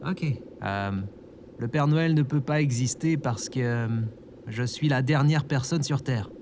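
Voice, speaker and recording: A man's voice: medium pitch, unhurried, a little mellow, slight background noise, close to an everyday voice. Man playing an exasperated, skeptical everyman. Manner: dry, exasperated. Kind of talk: audio fiction comedy acting.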